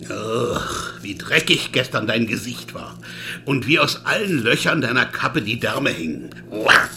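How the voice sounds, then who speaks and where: Radio drama barber: nosy, disgusted type, piercing tone, swooping intonation, sneering. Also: male voice, medium pitch, expressive, a little slow, bright, forceful tone, a little hiss or hum, full character voice. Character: nosy male camp barber, disgusted, oily.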